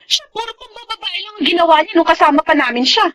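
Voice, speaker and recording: A woman's voice: very high-pitched, lively intonation, fast talker, bright, forceful tone, full character voice. A woman acting a young separated mother in a Filipino radio drama, emotional and emphatic. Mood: indignant. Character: young separated mother, hurt and indignant at her ex-husband.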